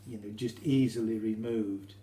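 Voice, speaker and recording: British guy doing a casual how-to, soft mellow voice, stop-start explaining, echoey room. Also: lowish voice, fairly even intonation. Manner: hesitant, halting, explanatory. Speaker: hobbyist demonstrator. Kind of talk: informal how-to demonstration. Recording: roomy echo, faint hum.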